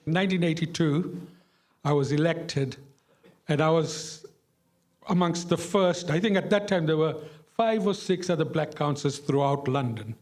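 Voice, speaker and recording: A man's voice: a little high, normal speed, a little mellow. An older man from Zimbabwe recounting his years as a councillor and activist in an emphatic, plain-spoken way, repeating phrases for effect. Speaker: former local councillor and activist. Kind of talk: impassioned reminiscence to an audience.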